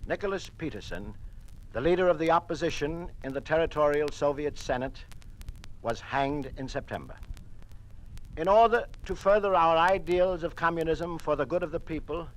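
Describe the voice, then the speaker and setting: A man's voice: on the high side, moderate pace, close to an everyday voice. An actor with an American accent playing an earnest, dutiful male communist conspirator in an old-time radio drama. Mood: formal, official. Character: uneasy male communist conspirator, later a dutiful party official. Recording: noisy vintage radio recording.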